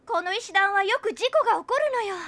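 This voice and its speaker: A woman playing a gushing, jealous schoolgirl in an audio drama, girlish, excitable and quick to bicker. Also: very high voice, no room sound. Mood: fussing. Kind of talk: exaggerated audio drama acting.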